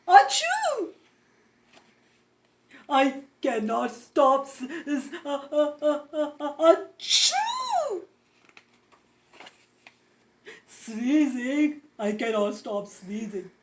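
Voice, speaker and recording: A woman voicing an anxious, melodramatic elephant in a children's storybook, halting and stammering. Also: high-pitched, wildly swooping pitch, heavily acted. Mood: alarmed, sneezy. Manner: halting, stammering, overdramatic with loud achoos. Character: anxious, melodramatic sneezing elephant, friend of a piggy. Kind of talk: children's storybook read-aloud.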